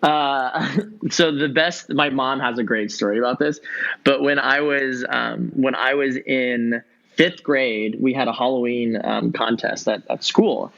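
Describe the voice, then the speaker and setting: Man in his 30s, from southern california, mid pitch, relaxed, lots of ums, laughs easily, dull muffled sound. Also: measured pace, creaky. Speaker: podcast guest, entrepreneur. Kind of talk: casual interview answers.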